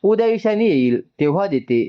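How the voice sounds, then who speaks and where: A man voicing a composed, businesslike middle-aged mother in a story, with measured, matter-of-fact delivery. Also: sits high, very animated, clear, resonant tone, muffled recording, pitched above the performer's own voice. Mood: composed, firm.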